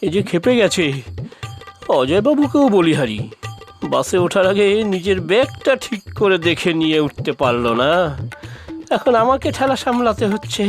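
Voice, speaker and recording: A man's voice: sits high, sing-song, moderate pace, soft background music. A man voicing a hapless, placating henpecked Bengali husband in a cartoon, with a theatrical delivery. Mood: pleading, exasperated. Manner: pleading, exasperated, theatrical. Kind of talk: cartoon voice acting.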